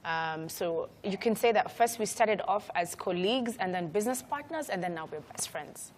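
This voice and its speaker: A woman's voice: medium pitch, animated, moderate pace. Young Kenyan woman talking, slight background noise. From Nairobi, Kenya. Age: young adult. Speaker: interview guest, entrepreneur. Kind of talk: casual interview conversation. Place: TV studio.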